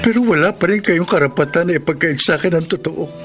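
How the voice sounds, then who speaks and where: Desperate filipino husband, high voice, pleading hard, radio soap with music under it. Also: male voice, fast, a bit bright. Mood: hurt, reproachful. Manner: emotional, pleading. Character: anguished poor young husband pleading for his wife. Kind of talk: Filipino radio drama acting.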